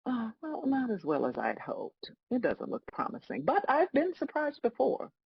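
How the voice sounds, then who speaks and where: A woman playing God as a wry, patient, bemused celestial judge, explaining things in a measured way at a table read of a comedy. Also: high-pitched, huge pitch swings, creaky, husky, dry recording, highs cut off, full character voice. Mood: wry, amused.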